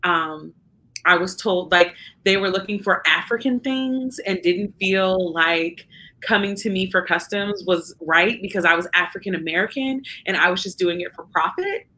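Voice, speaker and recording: Bright, wry young woman in casual chat. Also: lowish voice, moderate pace. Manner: candid, wry and self-mocking. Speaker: podcast co-host. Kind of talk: casual conversation.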